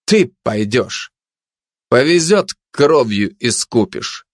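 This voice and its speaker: A man's voice: medium pitch, expressive, measured pace, a bit bright, dry recording, studio quality. A man playing a haughty, menacing dog in an audiobook, sneering and pompous as he threatens and declares. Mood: haughty commanding. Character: haughty menacing fascist dog, mocking gang tough.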